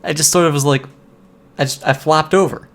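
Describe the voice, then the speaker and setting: Hoarse man narrating a game run. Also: on the high side, brisk. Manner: relaxed, chatty, talks to viewers. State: hoarse, losing his voice. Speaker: gaming commentator. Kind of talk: let's play commentary.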